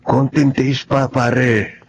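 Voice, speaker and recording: A man with a husky voice acting in a Filipino drama as a helpful, easygoing friend giving advice. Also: medium pitch, flat delivery, slow pace, a little hiss or hum, natural voice. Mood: encouraging.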